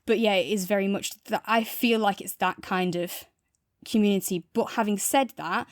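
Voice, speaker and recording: A woman's voice: mid-range voice, fairly even intonation, moderate pace, no room sound, studio quality. A woman co-hosting a podcast in a casual review chat, enthusiastic and wandering freely between points. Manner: enthusiastic, discursive. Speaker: podcast co-host.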